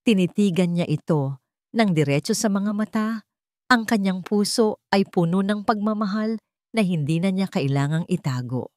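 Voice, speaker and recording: A woman's voice: medium pitch, lively intonation, moderate pace, a little mellow, dry recording. A woman reading a scripted romance story as a dramatic narrator.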